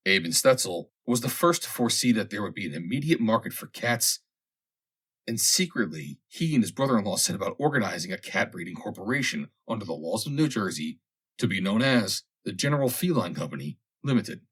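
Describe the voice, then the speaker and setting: Husky low-voiced man reading a story expressively. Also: big pitch swings, brisk, a bit bright. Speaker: audiobook reader. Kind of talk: scripted narration of a vintage humorous story.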